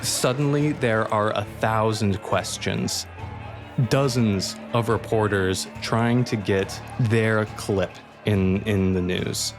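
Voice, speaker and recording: A man's voice: fairly low pitch, takes it slowly, music in the background. A man improvising narration for a roleplaying game, setting out scenes as the narrator.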